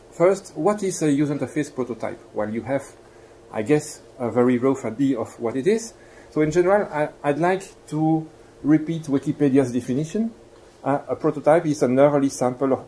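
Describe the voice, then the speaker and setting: A man's voice: on the high side, dark tone, poor audio. A man with a Belgian accent giving a university lecture, courteous and explanatory, putting questions to his listeners. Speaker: guest lecturer. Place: lecture room. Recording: live lecture recording with clear background noise.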